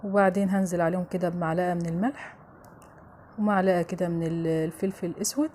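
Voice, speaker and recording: A woman's voice: lowish voice, fast, warm tone, slight background noise. A woman with an Egyptian accent hosting a home cooking show, chatting familiarly to viewers as she narrates a recipe step by step.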